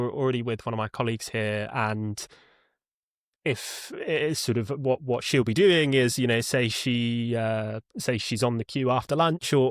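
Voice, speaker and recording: A man's voice: medium pitch, dry recording, clean studio sound. A man speaking as an interview guest, walking through how his support team works in a hesitant, explanatory, conversational way. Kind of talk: conversational product walkthrough in an interview.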